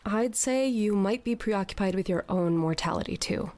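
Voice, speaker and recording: A woman's voice: medium pitch, normal speed, a little mellow, lightly acted. An actress playing a sardonic, lovelorn woman aboard a tall ship in an audio drama, sarcastic and given to dramatics. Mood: sardonic, exasperated.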